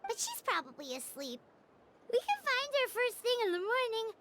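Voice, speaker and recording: A woman's voice: very high voice, wildly swooping pitch, normal speed, very noisy, full character voice. A woman voicing a small, childlike floating fairy companion in a video game, cutesy, exclamatory and earnest. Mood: concerned.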